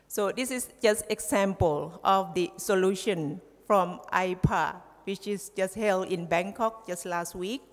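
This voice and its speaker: A woman in her forties from southern Thailand with a Thai accent, giving a formal conference speech at a podium through a headset mic, in a measured, halting way. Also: medium pitch. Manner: measured, halting delivery with restarts. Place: large conference hall, at a podium. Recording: reverberant hall.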